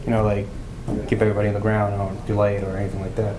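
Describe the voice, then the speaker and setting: A man's voice: lowish voice, completely flat delivery, rapid-fire. A man in his thirties explaining his work as an air traffic controller informally in a panel discussion. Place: small meeting room, around a conference table. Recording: echoey room, clear background room noise.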